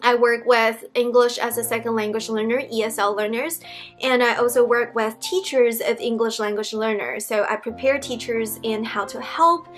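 A woman's voice: a little high, fairly even intonation, normal speed. Young woman, Mandarin Chinese accent, English teacher vlogging, small room, light background music. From Beijing, China. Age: young adult. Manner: friendly, explanatory, with frequent ums and restarts. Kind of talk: casual talk to camera. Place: small indoor room with wood-panelled wall.

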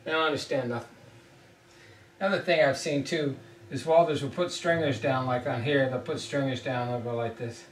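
A middle-aged man gives a blunt, emphatic welding lesson, hammering his points home by repeating them. His voice sits at an ordinary pitch. It is caught by a camera mic a few feet away in a small, echoey room. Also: fairly even intonation, normal speed, far from the mic, a little hiss or hum. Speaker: ship fitting instructor. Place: small indoor room at a whiteboard. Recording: roomy echo, voice off-mic.

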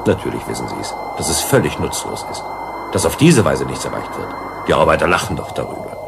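A man's voice: rumbling low, big pitch swings, brisk, vocal fry, background noise, full character voice. An actor playing a suave, probing male visitor who teases and flirts in a German science-fiction radio drama. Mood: teasing, ironic. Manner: teasing, questioning, ironic.

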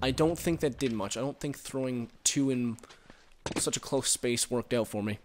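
A man giving casual, rambling commentary while playing a game, recorded on a headset and reacting loudly to the action. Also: mid-range voice, moderate pace, slight background noise. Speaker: gaming commentator.

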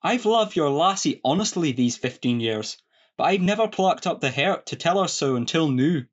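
A man's voice: fairly high pitch, animated, normal speed, lo-fi sound. A man playing a sentimental, devoted young peasant lad in a farcical radio play, with a broad rustic Scottish accent. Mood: pleading, glad.

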